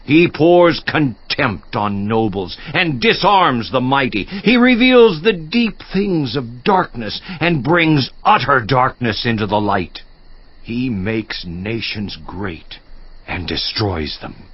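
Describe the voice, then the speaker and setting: An actor playing an anguished righteous man protesting his innocence, in a declamatory, impassioned dramatized scripture monologue that drops to hushed intensity. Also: mid-range voice, sing-song, slow, breathy edge, dull audio, full character voice. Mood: grave, awed.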